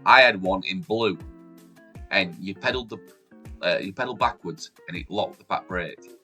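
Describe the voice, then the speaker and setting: Chatty chesty Yorkshire man, bright voice. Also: normal speed, soft background music. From Yorkshire, England. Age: 40s. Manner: chatty and upbeat, lively jumps in pitch and loudness. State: chesty, recovering from a cold. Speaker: YouTube host. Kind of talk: casual countdown vlog. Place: room at home with shelves behind the camera.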